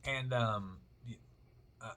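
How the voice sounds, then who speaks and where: A man co-hosts a casual radio show about money. His voice is bright and forward, and his delivery stays upbeat and jokey. A faint hiss of noise sits underneath. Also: medium pitch, slow. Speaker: radio show co-host, loan officer.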